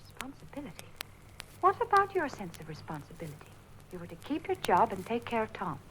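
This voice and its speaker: A woman's voice: on the high side, wildly swooping pitch, brisk, a bit bright, a little hiss or hum, low-quality recording. A stern forest queen. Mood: reproachful. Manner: reproachful, commanding.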